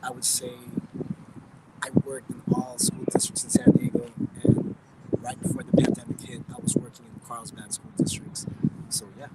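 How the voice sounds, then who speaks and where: Man in his thirties, gravelly voice, lively swooping pitch, casual rambling talk, loud traffic noise outdoors. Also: normal speed. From Philippines, raised in Oxnard, California. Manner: relaxed, rambling, with many fillers and restarts. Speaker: interview guest. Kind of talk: casual interview chat. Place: outdoors by a bay, traffic nearby.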